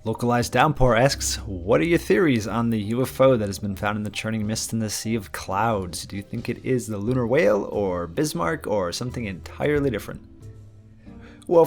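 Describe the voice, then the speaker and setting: A man, chatting casually and rambling a bit as he answers viewer questions and covers game news. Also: fairly low pitch. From Minnesota, USA. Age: mid-20s. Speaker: gaming channel host.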